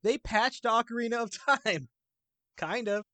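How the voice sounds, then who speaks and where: A man's voice: very high voice, a little slow, sharp tone, no room sound. A man chatting as a podcast guest, explaining things in a loose, hesitant way that often trails off. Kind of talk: casual podcast chat.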